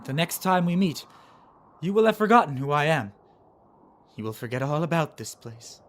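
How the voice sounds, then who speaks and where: A man voicing a confident alien soldier and aide in an animation, speaking in a measured, earnest way. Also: fairly high pitch, big pitch swings. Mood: gentle, solemn. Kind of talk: amateur animation voice acting.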